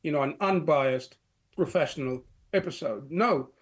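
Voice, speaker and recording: A man's voice: on the high side, moderate pace. A middle-aged man from Egypt, a guest answering interview questions in long runs full of "you know" and restarts. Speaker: guest, security analyst.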